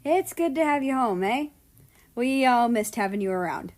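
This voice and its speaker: A woman's voice: fairly high pitch, lively intonation, moderate pace, darkish tone, close to an everyday voice. A woman voicing an affectionate, teasing younger brother with a folksy manner in a read-aloud story. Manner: folksy, ends phrases with eh.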